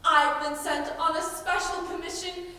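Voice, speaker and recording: Girl playing high-voiced sanctimonious nun, echoey stage. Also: flat delivery, moderate pace, sharp tone. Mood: pious, scolding. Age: teenager. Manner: pious, scolding, emphatic. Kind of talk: school stage play acting. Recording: distant, echoey stage recording with noise.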